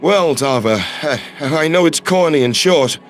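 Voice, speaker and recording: A man's voice: a little high, expressive, takes it slowly, soft background music, voice pushed down. A man voicing a playful, romantic human ambassador who speaks with shy self-deprecation in a sci-fi audio story. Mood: romantic, bashful.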